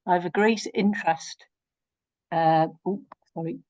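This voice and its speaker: A woman moderates a webinar, reading questions in a friendly, encouraging tone. Her voice is on the low side, heard through dull, dry lo-fi call audio. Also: unhurried, a little mellow, dry recording. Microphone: video call.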